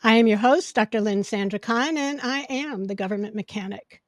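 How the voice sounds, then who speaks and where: A woman hosting a podcast in casual chat, with a loud voice that projects when she gets excited. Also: mid-range voice, fairly quick, no echo, clean studio sound. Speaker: podcast host. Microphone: podcast microphone.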